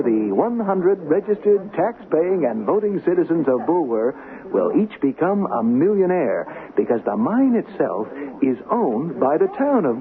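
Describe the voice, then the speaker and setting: Animated radio news reporter, crowd behind. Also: male voice, a little high, narrow, tinny audio. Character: earnest male news reporter on the scene. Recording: thin band-limited radio sound, crowd noise.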